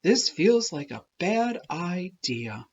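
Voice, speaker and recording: Woman playing sad young boy rock, storybook character, plaintive, resigned, apologetic, slow, slightly husky. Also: on the low side, big pitch swings, a little mellow, lo-fi sound, heavily acted. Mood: doubtful. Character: sad, apologetic young male rock who cannot roll.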